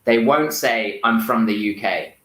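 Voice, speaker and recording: A man from England, explaining things calmly as a guest in a casual interview. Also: medium pitch, measured pace, echoey room. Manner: relaxed, explanatory, gives advice.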